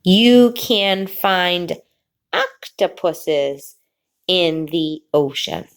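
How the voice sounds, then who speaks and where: A woman teacher reading a picture book aloud to children, pausing before key words and explaining the pictures. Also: on the low side, expressive, creaky, clear, resonant tone. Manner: slow, drawn-out reading with sing-song pauses before the last word.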